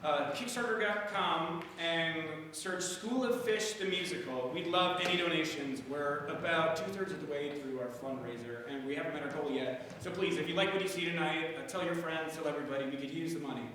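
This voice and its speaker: A man's voice: fairly high pitch, a little fast, few pauses, a bit bright. Man addressing an audience, very echoey hall. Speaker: show co-creator introducing a staged reading. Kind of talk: live stage introduction to an audience. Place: indoor venue with live audience. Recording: echoey hall, distant mic, clearly noisy.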